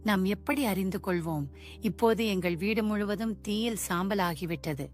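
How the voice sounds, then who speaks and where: An anxious village mother and wife, voiced by a woman speaks in a fretful, lamenting way. Her voice sits at a middle pitch, with soft music beneath it. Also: normal speed, natural voice. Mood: distressed. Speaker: voice actor. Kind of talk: dubbed cartoon acting. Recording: music bed behind.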